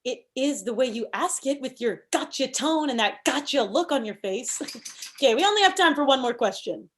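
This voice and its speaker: A woman's voice: high voice, animated, normal speed, a little hiss or hum. A woman playing a pushy, combative thirty-year-old campaign manager in a comedy table read, arguing emphatically. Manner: argumentative, emphatic.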